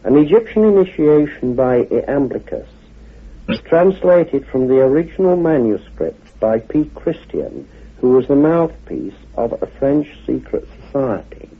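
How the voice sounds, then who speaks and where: A man giving an explanatory lecture on esoteric history, speaking in a deliberate, measured way with emphatic phrasing and pauses, on a muffled vintage radio recording. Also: mid-range voice, very animated, breathy edge. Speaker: lecturer, guest speaker on a documentary.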